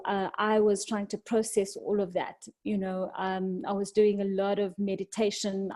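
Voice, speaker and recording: A South African woman talks as a guest in a podcast interview. Her voice is mellow and mid-pitched, and she speaks reflectively, pausing often as she gathers her thoughts. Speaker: podcast guest, medical doctor.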